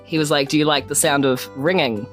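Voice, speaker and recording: Low-voiced, teasing New woman. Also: fairly even intonation, brisk, faint music behind. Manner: wry, teasing. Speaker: podcast player and co-host. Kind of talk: casual banter.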